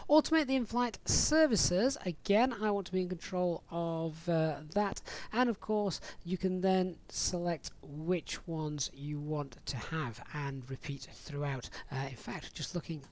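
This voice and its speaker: Man giving a casual software walkthrough. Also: high-pitched, a little slow, few pauses. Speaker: flight simulator streamer giving a tutorial. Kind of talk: casual software tutorial voice-over.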